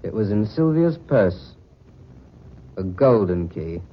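Proper wronged husband, stiff and defiant, old time radio drama, dull sound. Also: male voice, lowish voice, sing-song, takes it slowly, heavily acted. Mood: stiff, earnest. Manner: formal, stiffly dignified. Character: wronged, earnest gentleman husband, indignant and defiant. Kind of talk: 1940s radio drama acting. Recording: muffled 1940s radio recording.

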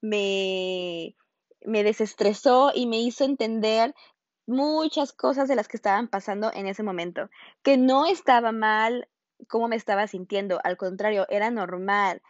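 A woman's voice: mid-range voice, measured pace, no room sound. Woman, podcast host, chatty, fillers and restarts, dull dry recording. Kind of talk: casual podcast chat.

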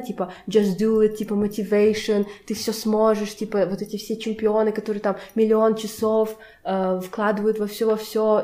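A woman's voice: mid-range voice, mellow. A woman who grew up partly in the United States, talking casually and giving opinions as a podcast host. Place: indoors in a home.

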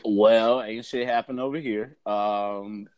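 A man's voice: fairly low pitch, fairly even intonation, takes it slowly, darkish tone, dry recording. A young man co-hosting a casual podcast, playful and slangy, with a sing-song manner. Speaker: podcast co-host.

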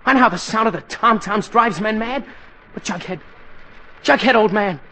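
A teenage boy in an old 1940s radio comedy. His voice is bright and forceful, and he declaims with mock-heroic, overblown earnestness. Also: high-pitched, normal speed.

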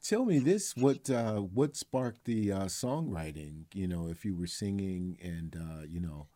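A male interviewer with a very low, dark, mellow voice. He eases into his questions slowly, leaning on "uh" and "you know" as he goes. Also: lively intonation. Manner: hesitant questions with fillers. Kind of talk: casual interview.

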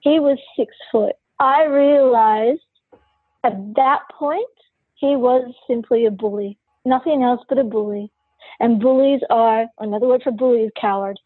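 A woman's voice: fairly high pitch, unhurried, breathy edge, no room sound, narrow, tinny audio. A woman who is a podcast guest, telling her personal story candidly and forthrightly in an interview.

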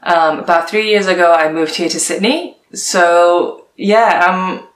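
A young woman from Hong Kong, answering interview questions in a relaxed, chatty way with lots of fillers. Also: on the low side, unhurried, reverberant. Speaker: guest, art director.

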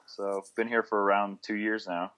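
A man's voice: lowish voice, level tone, moderate pace, fairly bright tone. A thirty-year-old man from the United States, a podcast guest calling in remotely and speaking in an easygoing, plain-spoken way. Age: 30s. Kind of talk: casual interview. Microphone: remote call.

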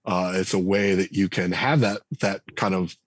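Guest: low-voiced man, husky, thoughtful and hesitant, dry close-miked read. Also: level tone, normal speed, dry recording. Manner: reflective, hesitant with frequent fillers. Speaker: game designer and writer, podcast guest. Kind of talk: casual interview talk.

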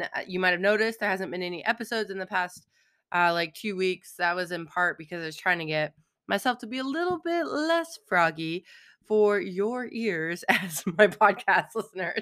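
A woman hosting her own podcast as a philosophical counselor, talking alone with a slightly hoarse, froggy voice and a chatty manner full of "um" and "right?". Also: medium pitch, bright, forceful tone. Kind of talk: solo podcast monologue.

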